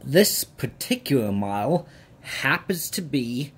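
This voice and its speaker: A man's voice: medium pitch, big pitch swings, slow, slightly rough. An American man in his late twenties presenting a personal project vlog in an earnest way. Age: late 20s. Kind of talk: vlog, part scripted narration, part casual presenting to camera.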